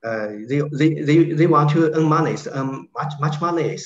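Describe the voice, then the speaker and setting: A man with a Chinese accent answering questions on a video call, halting and full of fillers as he searches for words in English. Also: fairly high pitch. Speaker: invited speaker, agricultural researcher. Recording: dull lo-fi call audio in an echoey room.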